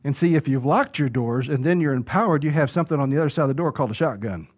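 A man preaching a sermon, building from steady talk to loud, emphatic peaks. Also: mid-range voice, racing. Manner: rises to loud emphatic preaching peaks, acts out quoted voices. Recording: dull lo-fi sound.

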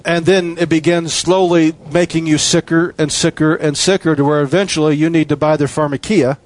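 A man preaching a sermon, his voice sitting a little higher than most men's. He hammers on repeated phrases with an emphatic cadence. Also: flat delivery, a little fast.

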